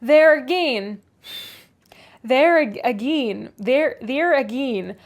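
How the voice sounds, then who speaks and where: A woman's voice: high-pitched, lively intonation, slow pace, exaggerated voice. A woman voicing a grumbling, sneering old male servant, drawling a thick rustic dialect in a storybook reading.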